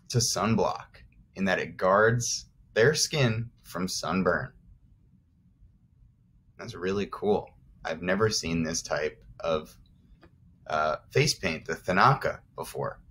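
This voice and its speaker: A man's voice: lowish voice, fairly bright tone, slight background noise. An American man, a teacher of young children, reading aloud and explaining simply as he addresses the children directly. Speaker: first grade teacher.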